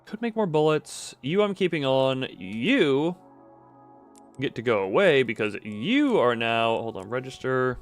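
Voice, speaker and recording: A man's voice: medium pitch, very animated, a little slow, soft background music. A man giving casual live video game commentary, thinking aloud in a rambling, self-interrupting way with playful, self-deprecating wordplay.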